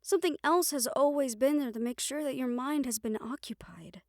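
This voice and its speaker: A woman playing a gentle, caring young banshee in an intimate audio roleplay, speaking softly and soothingly, with some phrases trailing into a whisper. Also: sits high, normal speed, dry recording, clean studio sound. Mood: gentle, reassuring. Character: gentle young female banshee from Puerto Rico, caring crush. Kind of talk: intimate audio roleplay acting, one-sided conversation.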